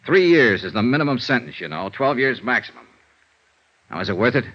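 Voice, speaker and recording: A man's voice: mid-range voice, big pitch swings, a bit bright. An American man acting a tough, blunt, persistent insurance investigator in a vintage radio detective drama. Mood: stern, pressing. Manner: brisk, blunt, hard-boiled, pressing. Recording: dull lo-fi vintage radio recording.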